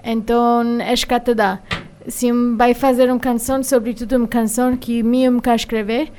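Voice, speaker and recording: A woman with an American accent, a singer speaking haltingly as a radio studio guest, pausing often with filler sounds. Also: a little high, level tone, darkish tone, slight background noise. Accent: American English. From United States. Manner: hesitant, many filler sounds while finding words. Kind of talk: radio interview.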